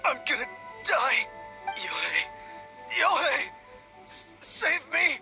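A man's voice: extremely high pitch, animated, full character voice. Gasping over-dramatic swordsman from a game, voice swings all over, music behind. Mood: desperate pleading. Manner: gasping, halting, melodramatic. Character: manly-obsessed delirious young swordsman, bombastic then pitiful. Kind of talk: English game voice acting.

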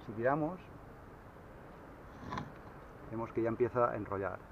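A man explaining kayak sailing in a relaxed, casual way, with fillers, speaking from a kayak at sea into an action camera. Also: medium pitch, fast talker. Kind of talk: casual how-to explanation on the water. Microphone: action camera mounted on the kayak. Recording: dull lo-fi camera audio, faint wind.

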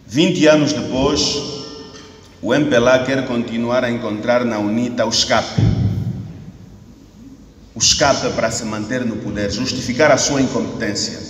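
A man in his thirties with an Angolan Portuguese accent, speaking as an opposition party spokesman at a press statement. He asks rhetorical questions, repeats phrases for emphasis, and rises to shouting. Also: mid-range voice, far from the mic. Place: indoor hall at a podium. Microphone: podium mic on a stand, camera at a distance. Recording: echoey hall recording from the camera, faint ambient noise.

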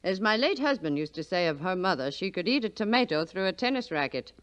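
An actress playing an imperious, catty old rich widow in a 1940s radio drama, haughty and dryly sardonic. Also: female voice, on the low side, big pitch swings, fast, smooth, no echo. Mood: catty, scornful. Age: older.

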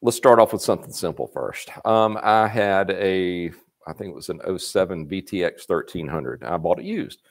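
A low-pitched man in his fifties with a drawl talks casually from a workshop, answering viewers' repair questions on a live stream. He is folksy and chatty, and he folds little stories of his own into his advice. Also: normal speed, creaky. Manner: folksy, chatty, reads questions aloud and adds anecdotes. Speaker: parts company host answering viewer questions. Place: workshop garage with motorcycles, indoors.

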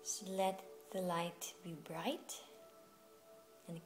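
A woman leading a guided meditation as a yoga teacher, speaking in a soothing, unhurried way with long pauses. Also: on the low side, little pitch movement, a little mellow. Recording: music playing behind the voice. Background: music.

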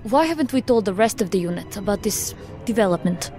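An actress playing a formal, analytical federal agent in an audio drama, speaking precisely. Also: female voice, medium pitch, expressive, fairly quick, over music, natural voice. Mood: measured, analytical. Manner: precise, formal, without contractions.

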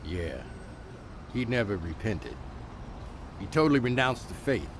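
A man's voice: on the low side, sing-song, a little slow. An actor playing a blunt, wry man telling a story in an audio drama. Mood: grim, blunt. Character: blunt, wry man recounting a church betrayal. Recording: noisy outdoor urban traffic ambience.

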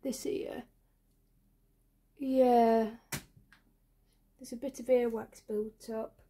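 A woman in her twenties speaking softly in an ASMR medical roleplay as an ear doctor, hesitant and reassuring. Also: on the high side, flat delivery, extremely slow, slight background noise. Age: 20s. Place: small bedroom, indoors.

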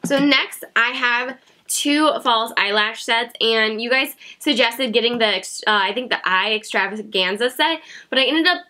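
A woman in her thirties talks to camera from her home beauty room, chatty and giggly, making fun of herself. Her voice is a bit high and bright, with a slight nasal, stuffy sound from a cold. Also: normal speed. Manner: chatty, giggly, self-mocking. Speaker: beauty vlogger. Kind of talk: casual haul chat to camera.

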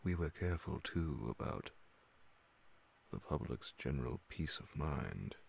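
Very deep, gravelly man reading a bedtime story. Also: expressive, measured pace, a little mellow, slight background noise. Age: middle-aged. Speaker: narrator reading classic horror stories. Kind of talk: ASMR audiobook-style bedtime story reading. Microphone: large studio condenser microphone close beside him, headphones on.

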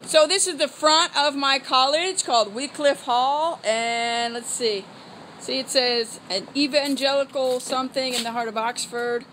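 A woman's voice: high voice, unhurried, a bit bright, poor audio. An American woman chatting enthusiastically to camera in a casual tour vlog. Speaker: vlogger, theology student. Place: walking around college grounds, indoors and outdoors. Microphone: phone at arm's length. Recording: faint noise, handheld phone.

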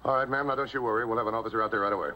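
An actor playing a middle-aged male highway patrol chief who gives clipped, commanding orders in a 1950s TV crime drama. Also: very fast, natural voice. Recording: dull old TV audio with loud hiss.

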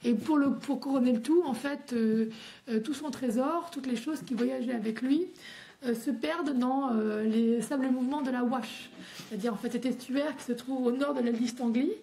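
A woman historian explains history in a fairly high, mellow voice. She pauses often and restarts her phrases as she talks her way through the story. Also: vocal fry. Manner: hesitant, many fillers and restarts. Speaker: historian guest. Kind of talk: academic explanation.

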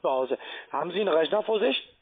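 Male call centre agent, high-pitched, foreign accent, halting broken sales talk over a lo-fi telephone connection. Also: animated. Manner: halting, ungrammatical speech. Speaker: call centre account manager, scam caller. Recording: band-limited, tinny phone line.

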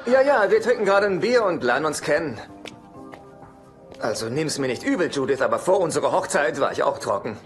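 Casting: anxious, easily flustered sitcom man, very animated delivery, music behind. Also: fairly high pitch, a little fast. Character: fussy, anxious divorced man, brother of a carouser. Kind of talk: German-dubbed sitcom acting.

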